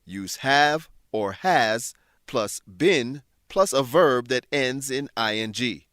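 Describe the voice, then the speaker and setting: A man hosting and narrating a scripted language lesson, explaining things in a clear, measured teacher's manner. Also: medium pitch, lively intonation, a bit bright, no room sound, clean studio sound. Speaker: host and English teacher.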